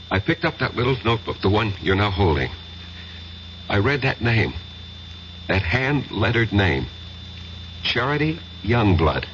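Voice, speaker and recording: Agitated professor, halting insistence, very animated low voice, faint hiss, vintage radio drama. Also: male voice. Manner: halting, insistent, repeats phrases. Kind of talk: 1970s radio drama acting. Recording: muffled, hissy radio drama recording.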